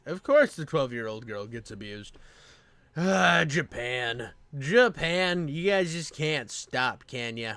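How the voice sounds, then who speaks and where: A man's voice: mid-range voice, expressive, slow, sharp tone, clear, resonant tone, no echo. A man giving casual reaction commentary as host, brash and slangy, with a jokingly exasperated manner.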